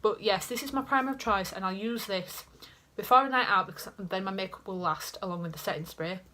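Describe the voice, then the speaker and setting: A woman's voice: mid-range voice, a little fast, pushed and bright, a little hiss or hum. A young woman with a Yorkshire accent, chatting casually to camera in her bedroom, rambling with self-mocking asides. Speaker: beauty vlogger. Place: small bedroom indoors. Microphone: camera in front at desk height.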